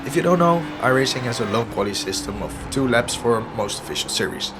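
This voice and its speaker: A hoarse man who is losing his voice narrates his own sim racing footage in an analytic, self-deprecating way. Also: mid-range voice, animated, a little fast. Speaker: sim racing video host. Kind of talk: commentary over gameplay footage. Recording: voice-over with music underneath. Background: background music.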